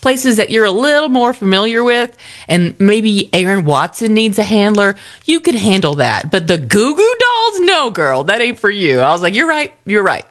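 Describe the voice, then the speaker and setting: A woman co-hosting morning radio, her voice rising and falling a lot as she tells an animated story. She laughs often in casual banter. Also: medium pitch, moderate pace. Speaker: radio co-host.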